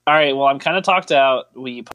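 A man's voice: mid-range voice, brisk, pushed and bright, no room sound. A man co-hosting a podcast in casual chat, joking, teasing and rambling as he goes. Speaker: podcast co-host.

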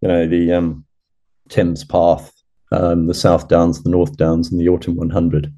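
A middle-aged man originally from Zimbabwe tells his stories in a chatty, self-deprecating way. His voice sits very low and sounds warm and mellow. He often breaks into a laugh at his own tales. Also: level tone, measured pace. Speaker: podcast guest, ultra runner. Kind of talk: casual storytelling interview.

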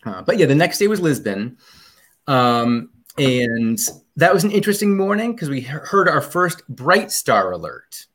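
A man's voice: a little high, big pitch swings, a little slow, a bit bright. A man as a podcast guest in casual chat, rambling about a trip with many ums and restarts.

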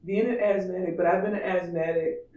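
Man, high raspy voice, candid podcast chat, emphatic, distant echoey mic. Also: fairly even intonation, moderate pace, mellow, lo-fi sound. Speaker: podcast co-host. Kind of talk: casual opinionated podcast chat.

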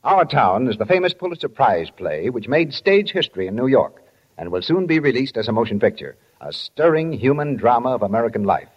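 A man announcing in formal, showy 1940s radio style, presenting the show and pitching its sponsor. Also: big pitch swings, fairly quick, breathy edge, faint music behind. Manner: formal, showy, polished salesman delivery. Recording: dull vintage 1940s radio recording.

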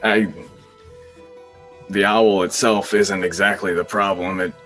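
A man's voice: low voice, fairly even intonation, slow pace, a bit bright, soft background music. A man playing an anxious, pleading young wizard in an improvised comedy sketch, stammering and repeating his words.

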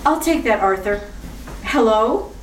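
A woman's voice: fairly high pitch, animated, unhurried, distant mic. A woman playing a dry witty society wife. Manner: dry, sophisticated, composed. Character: witty composed wife of a detective. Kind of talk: retro radio drama acting. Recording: echoey room, off mic.

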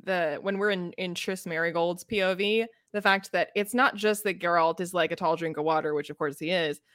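A woman's voice: fairly low pitch, steady tone, brisk, a bit bright, no echo, clean studio sound. A woman co-hosting a podcast, talking casually about books and peppering her sentences with "like". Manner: peppers talk with "like". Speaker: podcast co-host. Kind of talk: casual book discussion chat.

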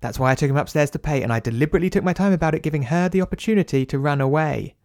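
A man voicing a brisk woman restaurant manager who explains things with a chiding edge. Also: on the high side, darkish tone, dry recording, studio quality, pitched above the performer's own voice, natural voice.

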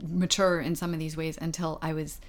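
A middle-aged woman co-hosts a parenting talk show in a relaxed, conversational way. Her voice sits a little low for a woman. She speaks earnestly, often breaks off and restarts mid-sentence, and laughs at herself now and then. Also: a little fast. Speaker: parenting podcast co-host. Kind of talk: casual conversation.

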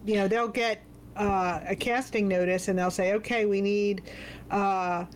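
A woman's voice: mid-range voice, steady tone, a little slow. Woman telling a story, lots of uhs and you knows, mid voice, light hiss. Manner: hesitant, storytelling with fillers. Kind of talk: casual chat.

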